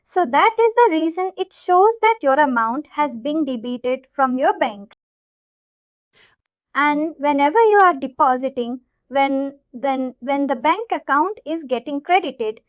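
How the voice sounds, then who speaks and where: A woman with an Indian accent and a high voice works through an informal software lesson. She repeats her points and checks that learners keep up. The sound is dry and slightly dull, like lo-fi online class audio. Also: expressive, measured pace, no echo. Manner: repetitive, checks that listeners follow. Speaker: software instructor. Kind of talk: informal live tutorial explanation.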